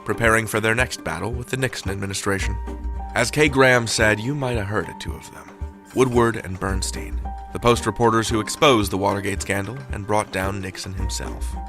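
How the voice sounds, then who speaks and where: Earnest deep-voiced male narrator. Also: fairly even intonation, brisk, fairly bright tone, soft background music. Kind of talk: scripted narration.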